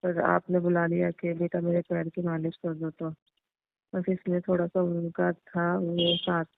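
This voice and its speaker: Woman playing hesitant young daughter-in-law, flat phone voice. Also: fairly low pitch, gravelly, no echo. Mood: confiding, wistful. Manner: hesitant, halting, bashful. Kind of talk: staged phone-call audio drama in everyday conversational speech. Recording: muffled narrow-band phone-line sound.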